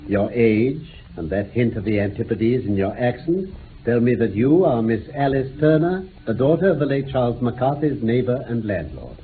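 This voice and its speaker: Male actor as gentleman detective, self-assured, dry irony, animated, radio drama, thin hissy audio. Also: medium pitch, normal speed, natural voice. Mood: assured, deductive. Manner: precise, didactic, dryly ironic. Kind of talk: 1960s British radio drama acting. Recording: tinny, band-limited old radio recording with hiss.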